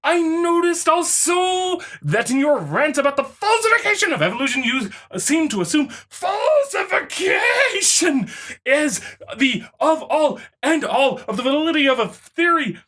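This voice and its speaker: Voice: high-pitched, sing-song, unhurried, pitched above the performer's own voice, full character voice. A performer voicing an indignant, pompous, dim online commenter in a mocking, overblown dramatic reading. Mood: strident. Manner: overblown, shouty, swooping delivery.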